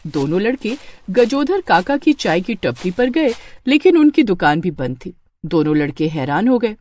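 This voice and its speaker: A woman's voice: mid-range voice, very animated, moderate pace, darkish tone. A woman narrating a scripted moral story.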